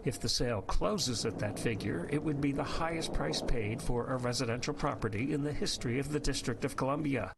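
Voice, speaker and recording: Creaky-voiced male news reporter. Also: mid-range voice, a little fast, hardly any pauses, background noise. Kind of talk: scripted news narration.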